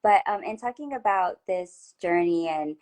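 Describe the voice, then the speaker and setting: A woman with a fairly low voice hosts a relaxed interview over a video call. She talks in an affirming, chatty way and often stops to restart her sentences. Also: moderate pace. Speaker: host.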